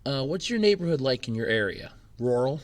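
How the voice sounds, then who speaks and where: A man's voice: medium pitch, very animated, fairly quick. A man hosting his own channel in a casual question-and-answer chat, a little scratchy from a cold, rambling with fillers and restarts. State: slightly scratchy, recovering from a cold. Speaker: YouTube channel host. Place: temporary makeshift room with echo. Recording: echoey temporary room.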